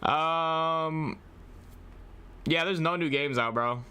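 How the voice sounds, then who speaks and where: A man's voice: fairly high pitch, slow pace, sharp tone, clear, resonant tone. A young man chatting casually on a game livestream, speaking in slang and addressing his viewers like buddies. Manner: slangy, addresses chat as bro and y'all. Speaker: live streamer playing games. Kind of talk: casual livestream chat while playing a game.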